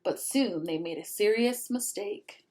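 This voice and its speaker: Slow, very expressive woman storyteller. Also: mid-range voice. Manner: expressive, pauses to question young listeners. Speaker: read-aloud storyteller. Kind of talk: children's picture book read-aloud.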